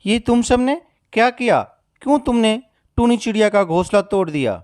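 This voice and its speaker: A man's voice: high voice, expressive, moderate pace, a little mellow, no echo, clean studio sound. A man voicing a kindly, protective male crow in a cartoon, speaking in an earnest, brotherly manner. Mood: indignant. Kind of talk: Hindi moral-story cartoon acting.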